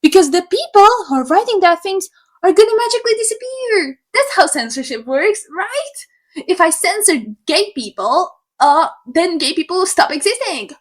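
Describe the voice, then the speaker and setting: Young woman from Slovakia, English, high voice, sarcastic, animated, swears casually. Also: normal speed. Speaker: YouTuber. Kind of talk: opinion rant to camera.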